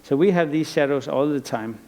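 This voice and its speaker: Man, middle-aged, from Iceland, lecturing on stage; raspy, fairly high voice, musing and halting. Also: warm tone, slight background noise. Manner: thoughtful, rambling with frequent restarts and fillers. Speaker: artist giving a lecture. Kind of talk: conference lecture.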